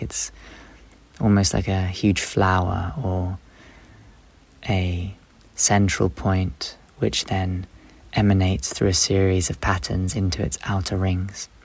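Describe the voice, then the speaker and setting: A man leading a guided meditation, speaking unhurriedly in short instructive phrases with long pauses between them. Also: low voice, creaky, a little mellow.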